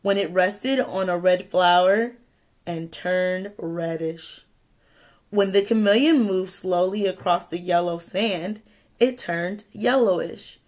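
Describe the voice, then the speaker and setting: A young woman teacher reading a picture book aloud to children, expressively, pausing to ask questions and add asides. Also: lowish voice, a little mellow. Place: small indoor room with classroom wall charts.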